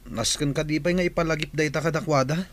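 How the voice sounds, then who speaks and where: A man's voice: on the high side, steady tone, fast talker, lightly acted. A man playing the concerned grown son of a farming family in an Ilocano radio drama. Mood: concerned, questioning.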